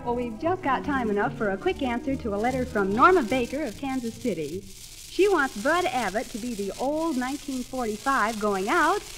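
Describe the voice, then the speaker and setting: A woman's voice: on the high side, lively intonation, moderate pace, low-quality recording. A woman hosting a scripted 1940s radio variety show. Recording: vintage 1940s radio broadcast.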